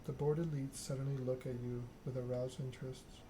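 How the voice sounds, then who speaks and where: A man softly reading a story game aloud in a hushed, measured ASMR narration. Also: mid-range voice, flat delivery. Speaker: video game let's-player reading the game aloud. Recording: clear background noise.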